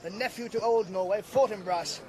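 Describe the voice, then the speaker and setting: An actor playing a plain-spoken, dutiful army captain in a Shakespearean radio drama, with blunt, projected delivery. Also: male voice, high voice, a little fast, crowd noise, close to an everyday voice.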